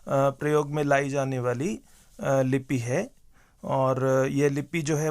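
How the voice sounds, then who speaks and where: A man giving measured, halting explanations as an interview guest, mixing in English terms. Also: fairly high pitch.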